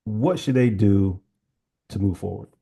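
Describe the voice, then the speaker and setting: A man's voice: on the low side, a little slow, vocal fry, mellow, slightly rough. A man hosting a casual online interview, encouraging and chatty, with lots of "you know" fillers. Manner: encouraging, rambling, filler-heavy. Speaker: host.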